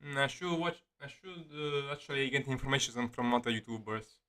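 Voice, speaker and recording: A man doing casual gameplay commentary, halting and often stumbling as he reads game text aloud. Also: medium pitch. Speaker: gaming streamer. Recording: loud game music behind voice. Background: loud video game music.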